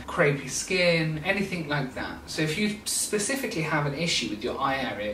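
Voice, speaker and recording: A man's voice: mid-range voice, a bit bright. A young man talks informally to camera about a facial treatment he offers, dropping in fillers as he goes. His voice sits in a mid register and carries the bounce of a small room. He is set back a little from the mic, with a faint hum of room noise underneath. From England. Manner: chatty, informal, with frequent fillers. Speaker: skincare clinic owner. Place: small clinic room indoors. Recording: roomy, slightly distant camera sound.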